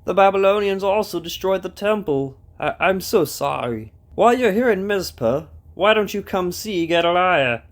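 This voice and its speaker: Man playing high-voiced, animated scheming warrior prince. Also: moderate pace, few pauses. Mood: feigned sorrow. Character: treacherous, scheming male warrior prince feigning courtesy. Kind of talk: Bible audio drama acting.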